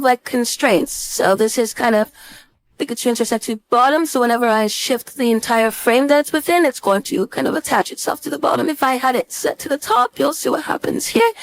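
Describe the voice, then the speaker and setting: High-pitched woman, software instructor, casual tutorial style, informal thinking aloud, dry room-free sound. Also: no echo. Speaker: design instructor.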